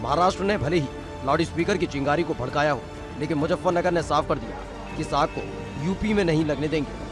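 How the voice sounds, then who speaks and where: Male TV news narrator, slightly high voice, dramatic read, music bed beneath. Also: fairly quick. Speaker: news anchor. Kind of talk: scripted news voice-over.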